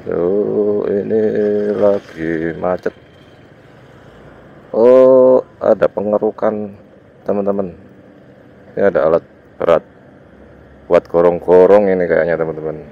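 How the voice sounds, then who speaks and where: A man's voice: sits low, takes it slowly, vocal fry, pauses often. A man with an East Javanese accent, congested with a cold, giving casual riding commentary in short, loose, informal phrases. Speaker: motovlogger. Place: outdoors, riding a motorbike on village roads. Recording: dull muffled sound, motorbike ride noise. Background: vehicle and road noise.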